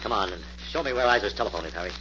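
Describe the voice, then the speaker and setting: An actor playing a brisk, skeptical investigator who takes charge in a 1940s radio mystery, clipped and authoritative. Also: male voice, lowish voice, natural voice. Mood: brisk, decisive. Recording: muffled, very noisy old-time radio recording.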